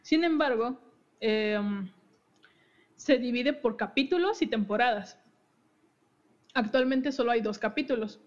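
A woman's voice: a little high, expressive, measured pace, long pauses. A young woman hosting a podcast, casually explaining game lore with frequent giggles and restarts. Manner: giggly, chatty, self-interrupting. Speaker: podcast host. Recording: dull lo-fi audio.

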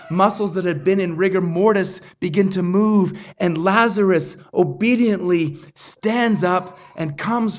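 Male pastor, high voice, earnest measured preaching, stumbles and restarts. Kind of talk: sermon.

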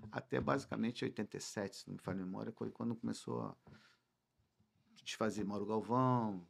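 A man's voice: on the low side, lively intonation, a little fast, gravelly, husky. A Brazilian man of sixty-one with a Rio de Janeiro accent, reminiscing informally as a podcast guest and repeating words for emphasis. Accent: Brazilian Portuguese, Rio de Janeiro. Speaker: football club president, interview guest. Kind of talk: casual podcast conversation, reminiscing.

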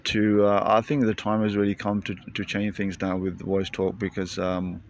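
A man's voice: fairly low pitch, monotone, moderate pace. Hesitant British man hosting a podcast. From Britain. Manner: hesitant, full of fillers. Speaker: podcast host. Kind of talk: casual podcast chat. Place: outdoors in a busy public spot.

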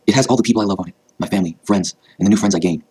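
Man voicing a determined, high-pitched warrior girl. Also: racing, a little mellow, husky, dry recording. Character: earnest, determined teenage magical warrior girl in battle.

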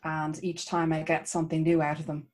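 Woman, Irish accent, lowish voice, author guest, enthusiastic chat. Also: monotone, brisk, a little mellow. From Ireland. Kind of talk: casual interview chat.

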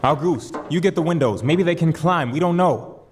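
An actor playing a gruff, commanding chief lighthouse keeper in a radio drama, curt and bossy. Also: male voice, a little high, moderate pace, in a large hall, faint music behind, natural voice. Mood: urgent.

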